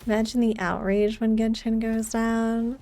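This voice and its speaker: A woman streaming a game and chatting casually, unhurried and rambling as she thinks aloud. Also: a little high, little pitch movement, dark tone. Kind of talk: casual livestream chat over gameplay.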